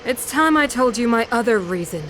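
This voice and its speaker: A woman's voice: fairly high pitch, lively intonation, moderate pace, background noise, close to an everyday voice. A woman playing an imperious, fanatical mother and ruler turned villain in a video game, speaking in grandiose, theatrical oratory. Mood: cold, solemn. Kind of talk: dramatic video game voice acting. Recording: orchestral game music behind voice.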